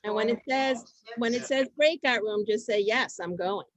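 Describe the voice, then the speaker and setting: A middle-aged woman hosting a group on a video call, chatting informally and telling stories in a conversational, animated way. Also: a little high, normal speed. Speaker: host of a synagogue group session. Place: video call, close dry sound.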